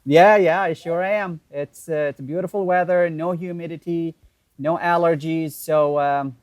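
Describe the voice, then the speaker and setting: A man's voice: fairly high pitch, dry recording. Guest, male, 30s, Iraqi Arabic accent, native Arabic, easygoing storyteller, dry video-call audio. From Iraq. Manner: relaxed, laughs easily, halting with fillers. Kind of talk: casual interview storytelling.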